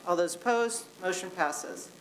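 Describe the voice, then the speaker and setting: A woman's voice: fairly low pitch, very noisy. A woman chairing a city commission meeting, calling votes and reading agenda items in formal procedural wording. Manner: brisk, reads formal wording.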